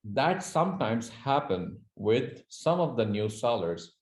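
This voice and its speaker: A Pakistani man with a mid-range voice hosts the conversation in Pakistani-accented English. His first language is Urdu, and he pauses and fills often as he searches for words. The room around him sounds roomy and reflective. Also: mid-range voice, big pitch swings. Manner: hesitant, searching for words with many fillers. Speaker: host. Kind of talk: casual business interview. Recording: echoey room.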